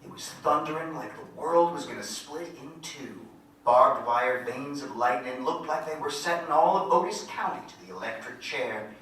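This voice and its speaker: Man as country narrator, rural American accent, folksy storytelling, bright tone, heavy room echo, faint noise. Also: medium pitch. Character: folksy country boy narrator recalling events vividly. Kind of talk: dramatic monologue in staged reading. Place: indoor venue, staged reading. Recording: very echoey room from far off, noisy.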